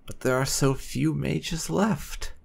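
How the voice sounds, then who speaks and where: A man hosting a roleplay let's play, commenting in a theatrical, wry, drawn-out way. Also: a little high, vocal fry, husky. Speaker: gaming channel host. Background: faint music.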